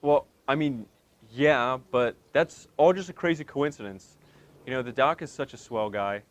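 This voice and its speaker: A man's voice: mid-range voice, moderate pace, dry recording, natural voice. A man playing a meek, gullible, eager-to-please therapy patient in a comedy sketch, hesitant and earnest. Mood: earnest, devoted.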